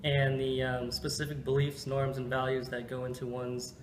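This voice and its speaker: A young college man from California, a student athlete, answering in a measured, formal way. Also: mid-range voice, monotone, background noise. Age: young adult. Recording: echoey room.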